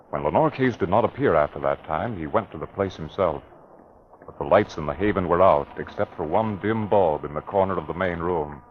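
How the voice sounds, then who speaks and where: A man's voice: deep voice, a little hiss or hum, close to an everyday voice. A man narrating a classic radio mystery drama in a scripted, dramatic style. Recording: dull vintage radio broadcast recording.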